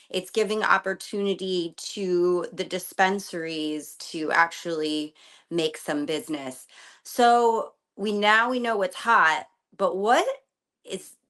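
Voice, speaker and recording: A woman hosts a casual interview, her New plain and her talk candid and full of slang. Her voice sits on the low side for a woman. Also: a bit bright. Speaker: podcast host.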